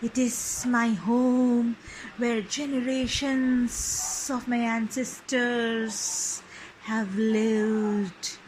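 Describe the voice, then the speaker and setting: Lady doing a big solemn storybook snake, real slow n drawn out, bit of background noise. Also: on the high side, level tone, darkish tone, rough recording. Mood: solemn warning. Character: huge slow hissing boa constrictor, solemn. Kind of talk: storybook character voice.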